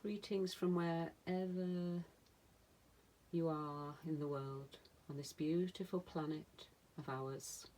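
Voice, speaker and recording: A woman meditation teacher leading a guided relaxation in a soothing manner, speaking in short phrases with long pauses. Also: low-pitched, steady tone, warm tone. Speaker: yoga nidra meditation teacher.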